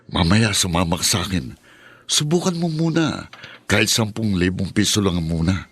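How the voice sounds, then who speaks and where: A man's voice: sits low, expressive, normal speed, fairly bright tone, husky, no room sound, heavily acted. A man acting in a radio drama as a worldly, pushy friend who cajoles and goads. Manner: cajoling, goading.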